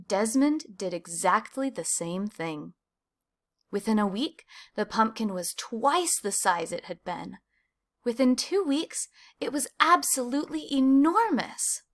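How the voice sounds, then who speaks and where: A woman reading a children's picture book aloud, with animated emphasis on key words. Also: medium pitch. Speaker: storybook reader.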